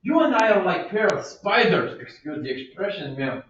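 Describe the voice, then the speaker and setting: A man's voice: high-pitched, big pitch swings, normal speed, a bit bright. A man playing a fussy old servant who coaxes and scolds his mistress. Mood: coaxing. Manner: coaxing, scolding, keeps saying ma'am. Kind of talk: amateur play reading. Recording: echoey room, far from mic, dull.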